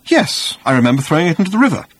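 Man voicing a high-pitched, flustered, bewildered fellow. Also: very animated, very fast, slightly rough. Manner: hesitant, stammering, repeats words. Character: bewildered, emotional, rambling stranded Englishman in a dressing gown. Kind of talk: audiobook character voice.

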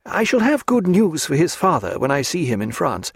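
A man doing a measured, formal gentleman. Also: on the high side, huge pitch swings, gravelly, slightly rough, no room sound, clean studio sound, voice pushed up, heavily acted. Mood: earnest.

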